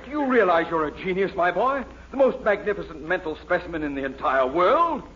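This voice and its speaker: Pompous, high-voiced excitable dean. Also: male voice, brisk. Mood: exultant, frantic. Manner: pompous, theatrical exclamations. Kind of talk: 1940s radio comedy acting. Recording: muffled, noisy 1940s radio recording.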